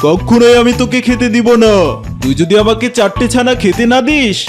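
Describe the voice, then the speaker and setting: A performer plays a dim, greedy old tiger uncle in a kids' cartoon. The mid-pitched voice blusters, grumbles and bosses, with music playing behind it. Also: a little fast, lightly acted. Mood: bossy. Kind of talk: children's cartoon voice acting.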